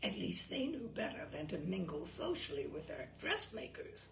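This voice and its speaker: A woman doing a dry, deadpan wife. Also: fairly low pitch, moderate pace, gravelly, reverberant, noisy background.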